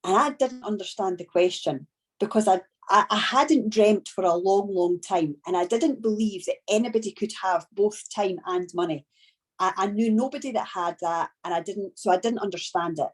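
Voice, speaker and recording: A woman from Scotland with a strong Scottish accent, giving a training talk on a video call and telling her story slowly and deliberately. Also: mid-range voice. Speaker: business trainer.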